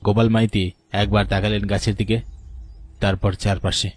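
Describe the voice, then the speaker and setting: A man's voice: on the low side, level tone, moderate pace, dry recording. A man narrating a scripted horror story as its storyteller.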